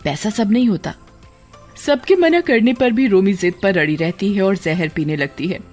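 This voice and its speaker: A woman's voice: mid-range voice, big pitch swings, normal speed, a little mellow. A woman storyteller reading scripted narration for a cartoon story, over music.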